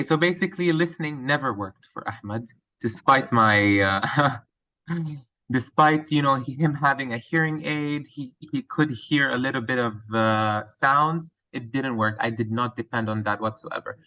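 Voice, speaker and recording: A man's voice: medium pitch, measured pace, bright, forceful tone, poor audio. Hesitant Lebanese-accented male teacher. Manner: hesitant, many fillers and restarts. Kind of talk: answering interview questions.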